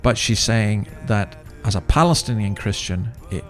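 A man's voice: on the low side, normal speed, faint music behind. A man hosting a podcast with informal commentary, talking in a conversational, rambling way and correcting himself as he goes. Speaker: podcast host.

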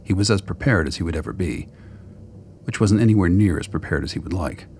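A man with a gravelly voice reading scripted dramatic story narration. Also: sits low, animated, a little fast, darkish tone, slight background noise.

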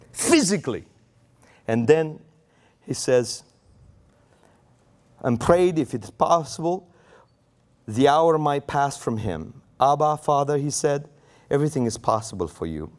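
A man's voice: on the high side, a little mellow. A man preaching a sermon in English with a Romanian accent, his first language Romanian, acting out quoted prayers with raised voice.

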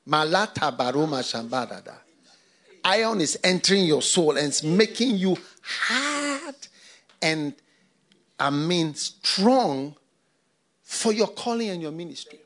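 Animated man preaching a sermon. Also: on the high side, a little slow. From Ghana. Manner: preaching with repetition and rhetorical questions. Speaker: pastor.

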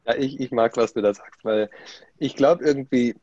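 A man who was born in Germany and grew up in Australia, speaking somewhat halting German with a background, full of fillers and restarts, as a guest in a casual interview. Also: medium pitch, a little mellow, no room sound, highs cut off. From Germany, raised in Australia.

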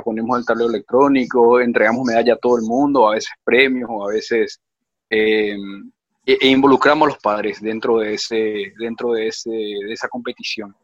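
A man's voice: medium pitch, fairly bright tone, dry recording, dull audio. A man who coaches swimming at a club, speaking hesitantly with many restarts in an online group discussion.

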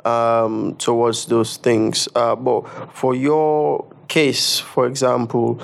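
A man's voice: mid-range voice, gravelly. A man hosting a podcast who stammers, repeats words, and leans on "you know" and "um" while asking long questions. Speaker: podcast host. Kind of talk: casual interview conversation.

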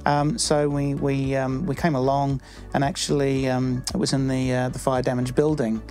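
A middle-aged man from New Zealand with a New Zealand accent, answering interview questions in a halting way with many fillers and restarts. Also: medium pitch, flat delivery, a little mellow, faint music behind. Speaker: church member.

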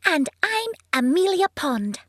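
A woman's voice: extremely high pitch, big pitch swings, measured pace, a bit bright, dry recording, studio quality, exaggerated voice. A woman playing an eager, impatient little girl adventurer in a children's drama. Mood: excited, playful. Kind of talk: children's audio drama acting.

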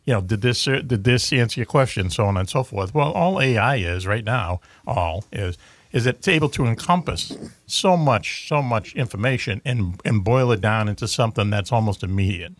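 A man's voice: fairly low pitch, expressive, moderate pace. A man with a Boston accent hosting a talk show, chatting in a rambling way with lots of fillers and restarts. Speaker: radio show host. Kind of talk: casual talk show chat.

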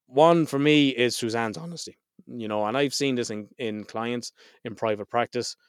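A man's voice: medium pitch, moderate pace, clear, resonant tone, no room sound, clean studio sound. Congested man hosting a podcast. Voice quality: slightly nasal. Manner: frank, swears freely. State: head cold, congested. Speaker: podcast host. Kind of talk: casual solo podcast intro.

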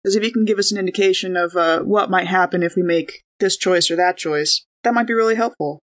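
A woman's voice: lowish voice, fairly quick. A woman playing a tabletop game, talking through options in an informal, hedging, conversational way. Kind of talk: casual in-character tabletop discussion.

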